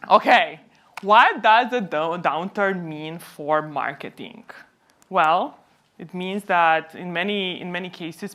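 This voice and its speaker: Low-pitched woman presenting. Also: a bit bright. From Romania. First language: Romanian. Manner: explanatory, posing questions then answering them. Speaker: company co-founder presenting at a conference. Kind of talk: conference presentation.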